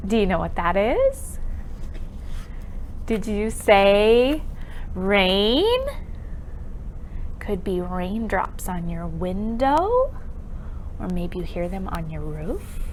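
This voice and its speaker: A woman hosting an interactive children's storytime with sing-along rhymes, speaking expressively and encouragingly, asking questions and leaving pauses for answers. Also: mid-range voice, slight background noise. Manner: expressive, exaggerated delivery for young children.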